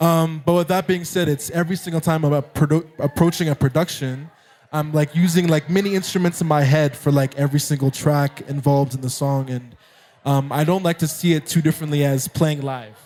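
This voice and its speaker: A young man with an American accent, a guest musician chatting in a relaxed interview and laughing often. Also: fairly high pitch, a little fast. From Nairobi, Kenya. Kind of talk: casual interview chat.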